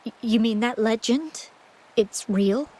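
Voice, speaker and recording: Gentle, anxious young woman, high breathy voice. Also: slow. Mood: shaken, polite. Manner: soft, hesitant, stammering, polite. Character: anxious, gentle, ailing young woman devoted to her lover. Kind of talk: video game voice acting.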